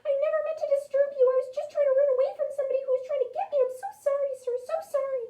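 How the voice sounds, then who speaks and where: A woman voicing a tiny, timid storybook mouse with a thin, squeaky voice, stammering and pleading frantically. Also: fairly even intonation, racing, dark tone. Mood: apologetic, frantic. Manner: stammering, repetitive apologizing. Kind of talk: children's storybook character voice.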